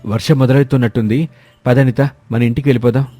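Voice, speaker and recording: A man voicing a fond, encouraging young village-born husband in an animated moral story, over music. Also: medium pitch, fast talker, natural voice.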